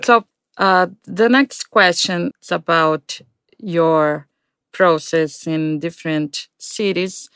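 A woman interviewer with a clear, smooth voice and a slightly low pitch. She asks polite, measured questions and laughs easily. She speaks slowly, in a dry, close sound. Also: fairly bright tone, no room sound.

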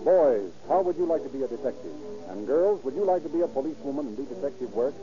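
A man with an American accent announces in an emphatic 1930s radio manner. His voice sits at a middle pitch and is formal and declamatory. The old recording is dull, with music clearly audible. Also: expressive, fast talker.

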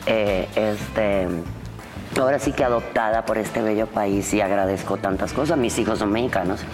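A middle-aged woman from Cuba hosting a casual podcast in a studio, exuberant and teasing, cheering loudly. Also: a bass voice, normal speed, over music. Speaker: podcast host.

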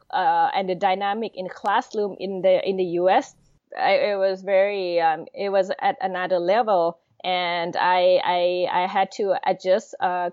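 A woman's voice: on the low side, a little mellow, dry recording. Woman, Thai accent, thirties, podcast guest, hesitant, many fillers, lo-fi, dry. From Thailand. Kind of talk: interview. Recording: dull lo-fi sound.

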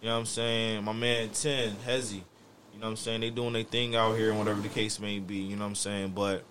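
A man's voice: on the low side, little pitch movement, brisk, a bit bright, a little hiss or hum. An American man in casual group chat, telling stories emphatically with slang, swearing and frequent verbal tags. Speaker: podcast co-host.

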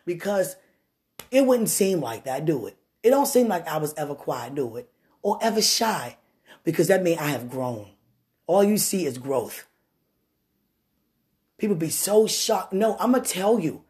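A woman with a deep voice giving an animated testimony and preaching, swinging from loud bursts to hushed asides. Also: a little slow, pauses often.

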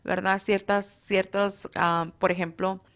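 A woman with a mid-range voice explains her school's training in a relaxed radio chat. She hesitates often and fills pauses while hunting for words. Her first language is Spanish. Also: medium pitch. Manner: hesitant, many fillers, searches for words. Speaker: beauty school instructor. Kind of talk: casual radio interview.

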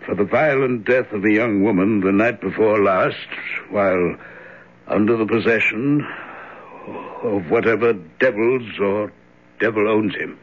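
Grave older preacher, slow halting delivery, old radio drama, muffled. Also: male voice, lowish voice. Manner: solemn, halting. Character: grave, troubled older reverend, father of possessed man. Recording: dull old radio broadcast recording.

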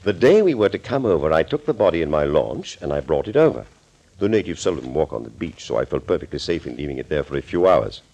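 An actor playing a suave, smug villainous doctor in a 1940s radio thriller, speaking politely and wordily. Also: male voice, very deep voice, big pitch swings, fast talker, darkish tone, husky, heavily acted, poor audio. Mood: smug, candid. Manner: polite, wordy, theatrical confessing.

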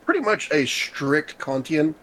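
A man's voice: on the high side, very animated, unhurried, a bit bright, low-quality recording. A man in a casual chat, patiently explaining ideas step by step.

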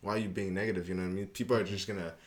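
A man's voice: sits low, steady tone, racing. A young man from Canada, chatting loosely as a podcast guest and often checking in with "you know what I mean". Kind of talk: casual interview chat.

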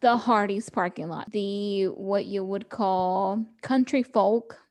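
A woman's voice: mid-range voice, takes it slowly, darkish tone. A young woman co-hosting a podcast, chatting casually and laughing often as she quotes people. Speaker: podcast co-host. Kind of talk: casual chat.

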